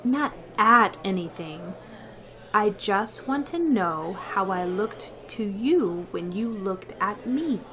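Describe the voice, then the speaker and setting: A medium-pitched voice for a wry female schoolteacher, acted by a woman. She is long-suffering and dryly sarcastic, landing her remarks like the lead of a classic radio sitcom. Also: animated, slow pace, sharp tone, poor audio. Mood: exasperated, dry. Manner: dry, sarcastic. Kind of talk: old-time radio comedy acting.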